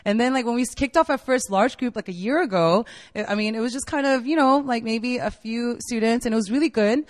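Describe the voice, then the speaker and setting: A woman's voice: fairly high pitch, a little fast, big-room sound. A woman in her late twenties, a campus ministry staff member, giving a casual, enthusiastic sermon to college students in a university meeting room, full of "like" and "right?". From United States (Virginia). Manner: chatty and enthusiastic, filler-heavy with frequent "like" and "right?".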